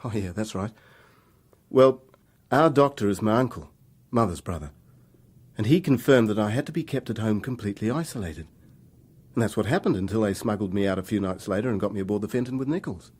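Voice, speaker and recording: A man's voice: lowish voice, animated, brisk, darkish tone, husky. An actor in a radio drama playing a troubled young man on the run, speaking in a hesitant, confessional way. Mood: explanatory, candid.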